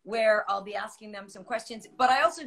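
Host, woman in her forties, bright, fairly high voice, friendly and brisk, on a video call. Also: lively intonation. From Boston. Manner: friendly, brisk, steering the conversation. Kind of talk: casual chat. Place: home room.

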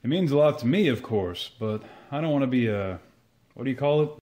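A man's voice: mid-range voice, expressive, fairly quick, dark tone, close to an everyday voice. A man acting a folksy, genial older gent. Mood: genial, eager. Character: genial older man trying youth slang. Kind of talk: old-time radio comedy acting.

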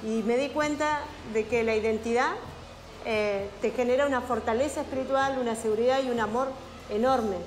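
A woman's voice: fairly high pitch, normal speed, darkish tone. A woman in her thirties with an Argentine accent, telling her personal story to a listening group. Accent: Argentine Spanish. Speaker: Mapuche activist and documentary protagonist. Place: classroom, indoors. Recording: echoey classroom with music behind.

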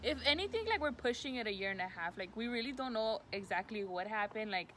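A woman's voice: a little high, expressive, fast, a bit bright. A woman in her twenties in casual, slangy vlog chat, slipping into Spanish, recorded outdoors. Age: 20s. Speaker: vlog co-host. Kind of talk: casual chat answering questions. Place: outdoors by a parking area. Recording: faint outdoor ambient noise.

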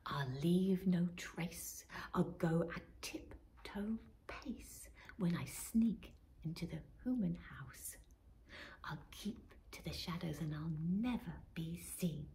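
A woman's voice: extremely high pitch, a little slow, hardly any pauses, warm tone, a little hiss or hum, voice pushed up. A woman voicing a small, eager young female robin in a storybook, with breathless, whispery chirps. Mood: sneaky whisper.

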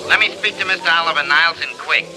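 A man's voice: a little high, racing, music in the background, close to an everyday voice, rough recording. An actor playing a brash, sardonic studio publicity man with a sarcastic, mock-formal manner in 1930s radio drama style. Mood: impatient. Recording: faintly hissy old radio broadcast.

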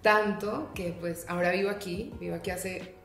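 A woman's voice: lowish voice, steady tone, normal speed, gravelly, fairly bright tone, soft background music. Colombian woman, chatty, in an echoey room. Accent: Colombian, mixed with Mexican. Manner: playful, laughs easily, chatty. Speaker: guest, actress. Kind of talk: casual interview chat. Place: living room, indoors. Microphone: stand mic on coffee table.

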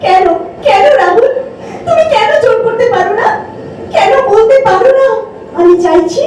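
A woman's voice: extremely high pitch, animated, normal speed, warm tone. A woman playing a wistful, emotional young woman in love in a stage audio drama, with tender, pleading, theatrical delivery. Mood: pleading, frustrated. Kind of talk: Bengali audio drama acting. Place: multipurpose hall performance. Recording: very echoey hall, distant mic, music behind.

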